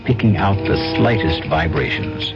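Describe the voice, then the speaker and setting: A man with a low, gravelly voice narrates a mystery radio drama. His delivery is measured and ominous, with music underneath and the dull sound of an old broadcast. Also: expressive.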